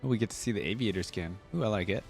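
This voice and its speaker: A man's voice: lowish voice, racing, gravelly, soft background music. A man hosting a reaction video, commenting casually in an informal, slangy, rambling way.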